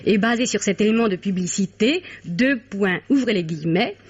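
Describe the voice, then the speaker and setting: An actress playing a cheerful young female journalist in an old radio drama, brisk and amused. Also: mid-range voice, lively intonation, slightly rough. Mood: chatty. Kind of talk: 1950s French radio drama acting. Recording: dull old radio recording.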